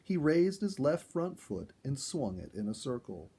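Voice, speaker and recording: A man reading a first-person animal story aloud in an expressive storyteller's style. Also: medium pitch, unhurried, slightly rough. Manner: expressive, acts out dialogue. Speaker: storyteller, reader.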